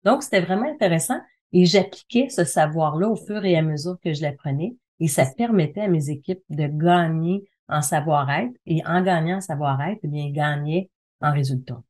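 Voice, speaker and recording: A woman's voice: sits low, normal speed, a little mellow. A middle-aged woman with a Quebec French accent speaking as an interview guest, explaining things conversationally and repeating phrases for emphasis. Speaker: interview guest, communication consultant and trainer.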